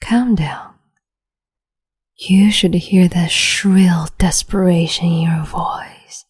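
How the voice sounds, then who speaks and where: A woman playing a cruel, capricious empress in an ASMR roleplay, whispering in a slow, teasing, domineering way. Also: on the low side, steady tone, full character voice. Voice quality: breathy whisper.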